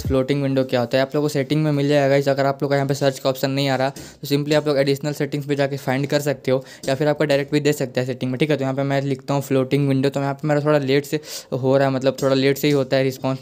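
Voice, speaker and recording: A man's voice: mid-range voice, darkish tone, clean tone. A man with an Indian accent hosting a casual gaming tutorial, repetitive and addressing viewers directly. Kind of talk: casual screen-recorded tutorial.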